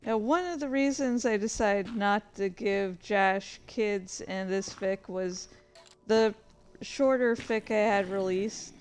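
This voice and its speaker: A woman chatting casually and hesitantly, thinking aloud as she gives meandering commentary during a game. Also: mid-range voice. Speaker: fan fiction author and streamer. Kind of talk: casual rambling commentary while playing a game.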